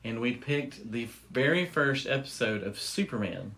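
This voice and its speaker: A man's voice: mid-range voice, lively intonation, normal speed, a bit bright. A man chatting casually as the host of a podcast. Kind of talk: casual chat.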